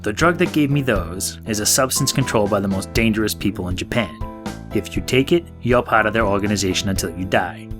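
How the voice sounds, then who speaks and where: A man playing a gruff, guarded, hot-tempered young fugitive in an audio drama, blunt and profane. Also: on the low side, fairly quick, music in the background, natural voice. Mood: grim warning.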